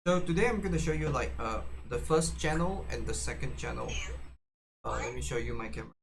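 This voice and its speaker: A man presenting a casual, unscripted how-to demonstration, haltingly, often repeating and restarting his phrases. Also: mid-range voice, soft background music. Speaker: tutorial presenter. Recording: clearly noisy background ambience.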